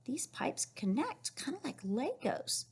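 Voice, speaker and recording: A woman reading a picture book aloud to young children, encouraging and questioning them in an animated way with a husky, breathy voice. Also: high voice, a little slow. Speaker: read-aloud storyteller teaching young children.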